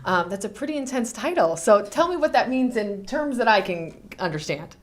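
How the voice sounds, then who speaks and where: A woman's voice: medium pitch, sing-song, moderate pace. Upbeat female podcast host. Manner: upbeat, eager, many fillers. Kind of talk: interview hosting. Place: podcast studio.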